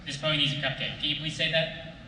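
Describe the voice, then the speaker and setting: A man with a very bright, fairly high voice asks eagerly and a bit flustered from the audience. The sound is very echoey and far from the mic. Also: fairly even intonation, quick pace. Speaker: fan asking questions from the audience. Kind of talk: casual spoken question. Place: convention panel room. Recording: very echoey hall from far off, faint noise.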